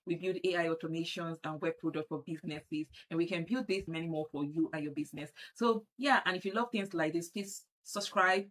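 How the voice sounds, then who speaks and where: A Nigerian woman with a Nigerian accent walking viewers through a software setup on screen, often repeating herself as she clicks. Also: lowish voice, fairly quick, few pauses. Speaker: tutorial presenter. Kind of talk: screen-share software tutorial.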